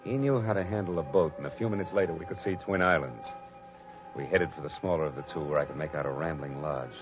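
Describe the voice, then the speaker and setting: A hard-boiled detective in an old radio play, talking low and deep with clipped, dry wit. The sound is dull and lo-fi, like an aged broadcast, with faint music underneath. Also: male voice, fast. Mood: wry. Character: hard-boiled male private detective, wry and tough. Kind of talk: 1940s radio drama narration.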